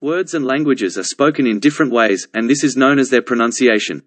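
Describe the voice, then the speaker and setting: A man reading a scripted passage aloud as a model answer, measured and carefully enunciated. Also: medium pitch. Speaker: exam practice model reader. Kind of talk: read-aloud scripted narration.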